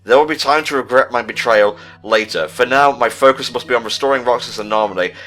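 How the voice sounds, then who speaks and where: A man's voice: medium pitch, fairly quick, a bit bright, close to an everyday voice. A man voicing a brooding male scientist in a formal, grave dramatic reading from a video game. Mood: wistful, remorseful. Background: game music.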